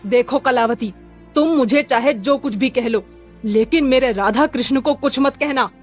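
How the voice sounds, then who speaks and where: A woman's voice: high voice, normal speed, faint music behind, natural voice. A woman voicing a poor, devout village sculptor in a cartoon story, earnest and plaintive. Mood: hurt, firm.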